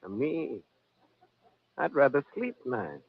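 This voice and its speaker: A man's voice: medium pitch, wildly swooping pitch, slow pace, husky, full character voice. An actor playing a needling, sardonic newspaperman, dry and pointed, in an old-time radio drama. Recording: tinny, narrow old radio recording.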